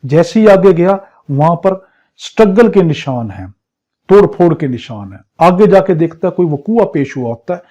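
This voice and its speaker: A man's voice: fairly high pitch, sing-song, normal speed, warm tone. A man with a Pakistani accent lecturing as a law teacher in an exam crash course, drilling points by repetition and rhetorical questions. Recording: dull, lo-fi muffled audio.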